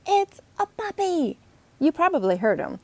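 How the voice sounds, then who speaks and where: Sassy kid girl detective, acted by a woman, excitable storybook delivery, big pitch swings, touch of creak. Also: measured pace, no echo, exaggerated voice. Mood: excited.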